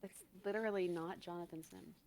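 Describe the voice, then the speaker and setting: A woman's voice: on the low side, steady tone, brisk, darkish tone, a little hiss or hum. A woman bantering casually as a livestream guest, playful and mock-serious. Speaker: guest on the show, voice actor.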